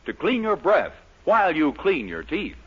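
A man announcing a 1940s radio program in a smooth, upbeat sales delivery. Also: a little high, wildly swooping pitch, moderate pace, heavy background noise. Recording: dull lo-fi 1940s radio broadcast.

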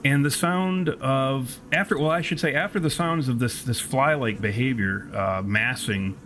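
A man narrating a tabletop horror game as game master, in descriptive, deliberate, self-correcting storytelling. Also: mid-range voice. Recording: steady background noise.

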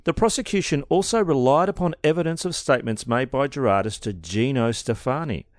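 A man's voice: medium pitch, expressive, fairly quick, dry recording, studio quality. A man co-hosting a casual true-crime podcast, reading out case details and joking in between.

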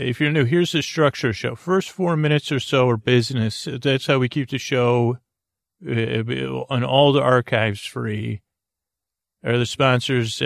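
A man hosting a bedtime podcast, rambling softly off on tangents in lulling, creaky, dulcet tones. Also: mid-range voice, dry recording, studio quality. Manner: meandering, tangent-prone, self-interrupting, drowsy and soft. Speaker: podcast host.

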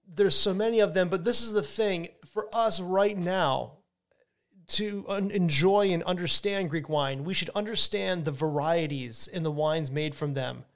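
An upbeat man with a slightly higher voice hosts a podcast in a relaxed, conversational way. He talks with excitement and often stops mid-sentence to rephrase. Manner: enthusiastic, chatty, restarts sentences. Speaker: wine podcast host. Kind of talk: casual educational monologue.

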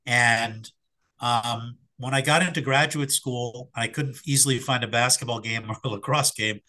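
A middle-aged man, slightly hoarse after an illness, answering interview questions in a reflective, hesitant way with fillers and restarts. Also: mid-range voice, fairly even intonation, normal speed, pushed and bright, rough recording. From Philadelphia area. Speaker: sports psychologist guest.

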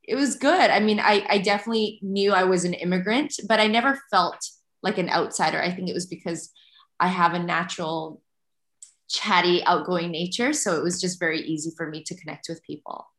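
A woman in her thirties from Malaysia who grew up in Canada, speaking as an interview guest. She talks in a lower register, chatty and open, often restarting her sentences. Her voice carries an echoey room sound. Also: fairly quick. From Malaysia, raised in Canada. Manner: chatty, warm laughs, many restarts. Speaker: podcast guest. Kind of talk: conversational interview.

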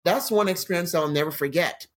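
Canadian guy in his 40s or so, guest on a podcast, telling funny travel stories, kinda high voice, acts stuff out. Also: brisk. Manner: animated anecdotes with laughs and acted-out quotes. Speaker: guest, crime novelist. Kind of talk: casual interview storytelling.

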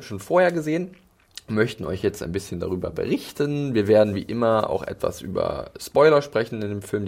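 A man's voice: lowish voice, vocal fry, darkish tone. German man chatting with frequent hesitations. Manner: hesitant, many fillers and restarts. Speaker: podcast host. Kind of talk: casual film podcast chat.

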